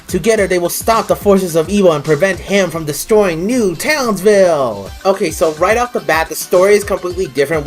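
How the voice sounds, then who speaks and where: A reviewer giving a scripted, informal and opinionated review as host of an online show. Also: medium pitch.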